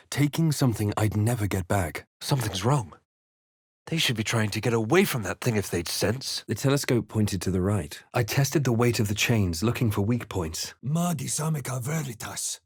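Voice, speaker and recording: A man playing a composed, wry fantasy adventurer for a video game, speaking in a measured, literary, theatrical way. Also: on the low side, darkish tone, slightly rough, dry recording, clean studio sound. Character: male fantasy adventurer hero narrating his exploits, composed, wry. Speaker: video game player-character voice actor. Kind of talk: dramatic fantasy game voice lines and narration.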